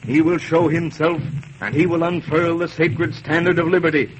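A man's voice: a little high, flat delivery, normal speed, slight background noise, lightly acted, rough recording. An actor playing a resolute enslaved man destined to free his people, speaking earnestly and solemnly in an old radio drama. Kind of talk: 1940s radio acting.

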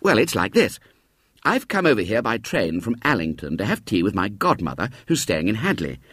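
A man voicing an eager schoolboy who coaxes and pleads, in a husky voice. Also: on the low side, sing-song, normal speed, fairly bright tone, no echo, clean studio sound, full character voice. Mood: pleading.

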